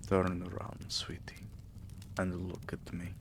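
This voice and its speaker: A man acts out a vampire king doting on a lover in intimate ASMR. The voice is very low and breathy, sinking into gravelly murmurs. Each phrase comes very slowly, tender and possessive, over a faint hiss. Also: monotone, full character voice. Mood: loving, earnest. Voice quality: breathy, half-whispered, gravelly. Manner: slow, soothing, affectionate murmuring.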